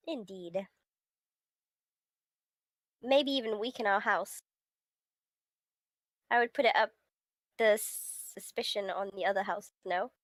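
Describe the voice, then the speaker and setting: A woman's voice: mid-range voice, slow, pauses often, dry recording. A woman streamer reading a visual novel aloud, giggly and breaking from the script with casual reactions. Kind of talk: live stream read-aloud with casual asides.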